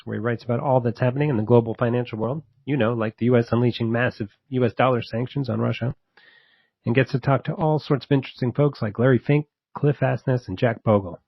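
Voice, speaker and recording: A man's voice: mid-range voice, creaky, breathy edge, dry recording, dull audio. A man hosting a podcast in a casual, conversational style. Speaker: podcast host. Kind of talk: casual podcast interview and intro.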